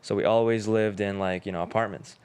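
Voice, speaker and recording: A man in his twenties from Uzbekistan, a Russian native speaker, talking as a podcast guest in a relaxed, rambling way with many fillers. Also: on the low side, brisk, clear, resonant tone. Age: 20s. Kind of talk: casual interview chat.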